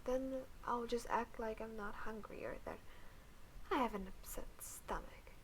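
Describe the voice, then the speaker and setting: A woman playing a scheming alien who poses as a sweet, awkward human girlfriend in an intimate roleplay audio, cool and halting, with murmured asides. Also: fairly high pitch, slight background noise, lightly acted, rough recording.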